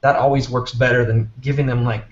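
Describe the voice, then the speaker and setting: A man's voice: medium pitch, flat delivery, brisk. A man giving informal, encouraging advice as a guest in a casual conversation. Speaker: interview guest, app maker. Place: office. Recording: echoey, muffled, noisy office video call.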